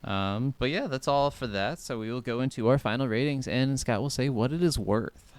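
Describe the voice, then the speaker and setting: A man's voice: mid-range voice, moderate pace, smooth. A man co-hosting a casual gaming podcast in an easygoing, agreeable, somewhat hesitant way. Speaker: podcast co-host.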